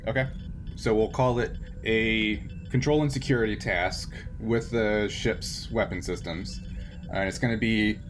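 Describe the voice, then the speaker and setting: A man's voice: on the low side, a little slow, background noise. A man running a tabletop game as game master, narrating casually with many fillers. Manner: relaxed, filler-heavy narration.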